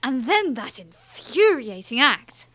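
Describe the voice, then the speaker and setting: Petulant young princess, high voice. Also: female voice, big pitch swings, takes it slowly, sharp tone, no room sound, full character voice. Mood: indignant, exasperated. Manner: petulant, sighing, formal. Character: sulky, proud, thorny young fantasy princess. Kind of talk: fantasy audio drama acting.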